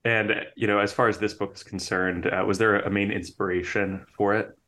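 A man's voice: lowish voice, level tone, moderate pace, bright, forceful tone. A young man hosting an interview podcast, talking hesitantly with lots of restarts and fillers. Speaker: podcast interviewer, assistant editor.

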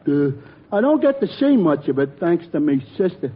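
An actor playing a dim-witted, good-natured working-class New Yorker in a 1940s radio comedy. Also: male voice, a little high, very animated. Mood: dopey, amiable. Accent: New York. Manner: slow-witted, dopey. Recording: muffled old radio, loud studio audience.

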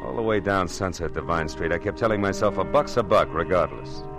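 World-weary American private eye, very low voice, sardonic, clipped, old-time radio drama. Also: male voice, a little fast, over music. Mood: dry narrating. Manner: clipped, sardonic, tough-talking. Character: wry, hard-boiled male private detective, world-weary and cynical. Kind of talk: 1940s radio detective drama acting with first-person narration.

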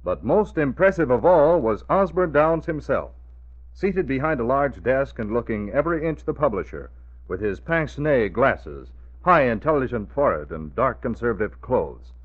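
A man's voice: mid-range voice, very animated, normal speed. An American man narrating a 1940s radio drama in a theatrical, emphatic and formally enunciated style. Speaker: announcer and narrator. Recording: dull, noisy vintage radio recording.

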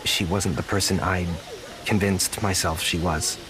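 An actor playing an earnest, insecure young man in an audio drama, speaking softly and haltingly with an apologetic manner. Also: low voice, fairly even intonation, noisy background. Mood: regretful, earnest. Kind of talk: naturalistic audio drama dialogue.

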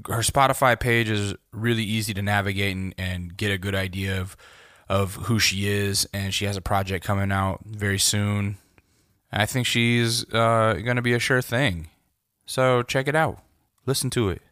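A man's voice: on the low side, fairly even intonation, moderate pace, fairly bright tone, dry recording. Casual male interviewer in a dry space. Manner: informal, slangy, profane. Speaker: interview host.

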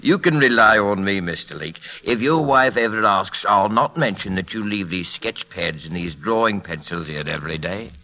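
An actor playing a deferential, knowing shopkeeper in a classic radio drama, confidential and gravely moralizing. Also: male voice, on the low side, moderate pace, few pauses, bright, forceful tone. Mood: obliging, confidential.

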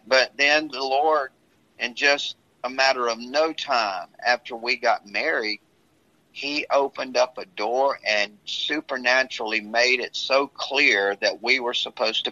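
A man who is a pastor, talking earnestly and at a measured pace about his own story, often restarting his sentences. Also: mid-range voice, pushed and bright. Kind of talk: casual talk sharing a testimony. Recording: dull lo-fi sound.

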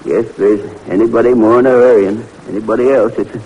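A man's voice: mid-range voice, steady tone, quick pace, warm tone, slight background noise, close to an everyday voice. Folksy, wryly scolding older garage man played by a male actor, vintage radio drama, hesitant haggler, muffled sound. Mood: wry, bemused. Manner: folksy, hemming and hawing, colloquial. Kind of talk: 1940s radio acting.

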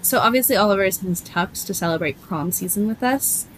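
A woman in her twenties co-hosting a podcast, telling stories in a lively casual way and acting out what others said. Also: mid-range voice, fast, a little hiss or hum, rough recording. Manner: animated storyteller, acts out quotes. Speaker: podcast co-host. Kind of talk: casual chat.

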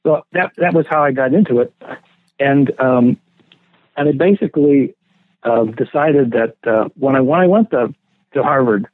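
A man's voice: mid-range voice, moderate pace, no echo. An older man, a theology professor, reminiscing unhurriedly as an interview guest, often correcting himself and chuckling now and then. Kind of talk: relaxed reminiscing interview talk. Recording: tinny phone-like dry line.

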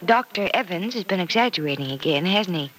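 Bright-voiced, earnest radio soap heroine. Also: female voice, fairly low pitch, expressive, brisk. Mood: cheerful. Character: warm, earnest young woman recovering from past pain. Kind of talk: old-time radio soap opera acting. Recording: noisy vintage radio drama recording.

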